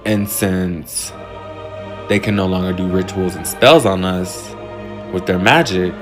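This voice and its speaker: A man's voice: deep voice, a little slow, music in the background. A man speaking in a musing, conspiratorial way in a casual monologue. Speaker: social media commentator.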